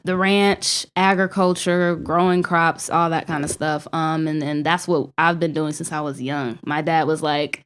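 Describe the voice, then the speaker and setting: A young woman from South Carolina, a guest musician chatting in a podcast interview, warm and giggly with lots of ums. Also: low voice, fairly even intonation, unhurried, few pauses, smooth. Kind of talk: casual interview chat.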